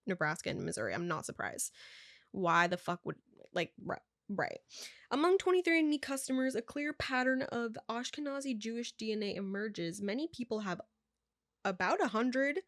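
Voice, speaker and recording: A woman's voice: mid-range voice, no echo, clean studio sound. A young woman vlogger reading her results aloud in casual chat, stumbling over words and adding offhand asides.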